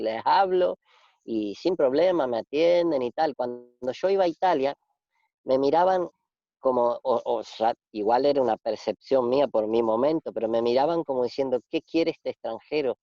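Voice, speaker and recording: A man in his forties from Argentina, with an Argentine Spanish accent, talking reflectively in a casual interview, pausing and restarting often. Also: mid-range voice, no echo. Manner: reflective, halting with many restarts and fillers. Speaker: interview guest. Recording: dull, dry lo-fi sound.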